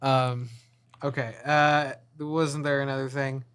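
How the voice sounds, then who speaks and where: A man's voice: medium pitch, flat delivery, slow, a bit bright, clean tone. A man co-hosting a casual movie discussion, often hesitant and trailing off mid-sentence. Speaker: podcast co-host.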